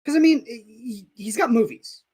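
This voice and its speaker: A co-host on a live comics stream, calmly explaining things to the people watching. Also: mid-range voice, moderate pace, slightly rough. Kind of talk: casual live-stream chat.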